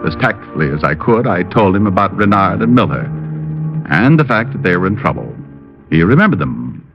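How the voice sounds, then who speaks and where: A low, lively male voice with an American accent, as a sardonic private eye in a vintage radio drama. He is glib and quick-witted, on a muffled old recording with faint music. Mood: dry narration. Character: wisecracking, quick-thinking male private detective. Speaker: lead actor and narrator of a detective series. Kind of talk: 1940s-50s radio detective acting and narration.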